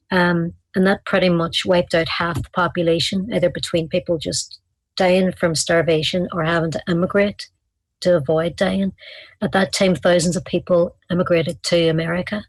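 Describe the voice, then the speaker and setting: A woman's voice: lowish voice, dry recording, rough recording. An Irish woman in her thirties, a guest on a video call, earnestly explaining history with frequent ums and restarts. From Ireland. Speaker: guest, community activist. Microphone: video call webcam.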